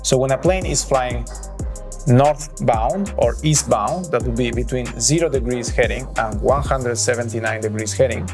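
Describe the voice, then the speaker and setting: A man's voice: medium pitch, normal speed. Spanish-accented man explaining over background music. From Spain. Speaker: presenter of an aviation explainer. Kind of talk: explanatory narration, lightly scripted.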